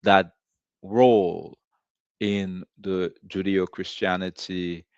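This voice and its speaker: A man from Haiti hosting a commentary monologue, speaking deliberately with frequent pauses and fillers. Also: low-pitched, fairly even intonation, smooth, no echo, highs cut off. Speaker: host of a commentary show. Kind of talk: slow reflective commentary monologue.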